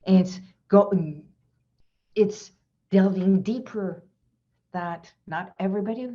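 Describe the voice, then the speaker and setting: A woman's voice: medium pitch, slow, dark tone. Earnest woman on a dull video call. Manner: earnest, reflective, repeats key phrases. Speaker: hypnotherapist guest. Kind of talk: inspirational talk in an online session.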